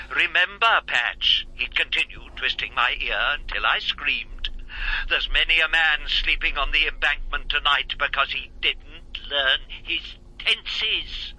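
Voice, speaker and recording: Florid, bright-voiced effete crook. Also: male voice, mid-range voice, full character voice, poor audio. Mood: nostalgic, theatrical. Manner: florid, pompous, reminiscing theatrically. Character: effete, long-winded, cultured male criminal go-between. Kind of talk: radio drama acting.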